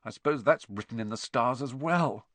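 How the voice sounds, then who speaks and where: A man's voice: medium pitch, normal speed, a bit bright, no echo, close to an everyday voice. A man voicing an earnest, agitated young boy in an audiobook, with an urgent, feverish manner. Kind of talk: audiobook character dialogue.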